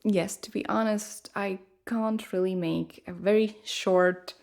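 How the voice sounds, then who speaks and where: A woman from Latvia with a distinct Latvian accent, chatting casually and fairly softly in her full voice, often pausing with "um". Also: mid-range voice. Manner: relaxed, hesitant with many ums, talking quite softly. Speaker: ASMR creator.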